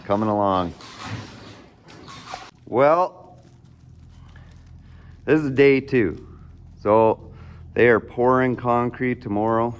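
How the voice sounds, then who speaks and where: A man with a hoarse, raspy voice chatting casually in a vlog about his shop, rambling and trailing off with fillers. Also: on the low side, a little hiss or hum. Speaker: vlogger. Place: garage shop, partly outdoors.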